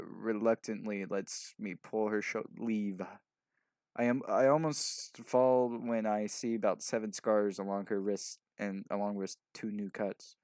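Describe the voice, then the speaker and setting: A man's voice: fairly low pitch, darkish tone, no echo, studio quality. A man reading a story aloud casually, stumbling over sentences as he narrates. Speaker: fanfiction reader.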